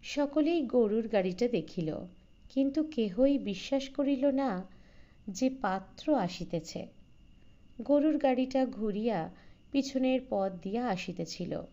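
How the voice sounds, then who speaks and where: A woman narrating a literary short story in a measured, formal storytelling manner. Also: on the high side, expressive, mellow, lo-fi sound. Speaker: audiobook narrator.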